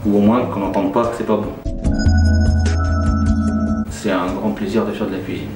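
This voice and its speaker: A man's voice: on the low side, level tone, moderate pace, recorded from a distance. A man, talking informally and plainly about his job in an interview. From Nantes, western France. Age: 40s. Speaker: care-home head chef being interviewed. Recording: roomy, off-mic voice under clear music. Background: music.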